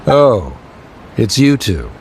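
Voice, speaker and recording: A man's voice: on the low side, big pitch swings, a little hiss or hum, exaggerated voice. An actor playing a proud, middle-aged scholar father in a video game, formal and reserved, awkward with his feelings. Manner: formal, measured wording, hesitant. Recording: game music behind the voice.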